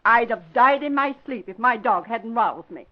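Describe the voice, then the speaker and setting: An actress playing a blunt, feisty older spinster with a folksy, tart manner in an old-time radio drama. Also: female voice, on the high side, expressive, normal speed, natural voice. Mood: indignant, tart. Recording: dull vintage radio recording.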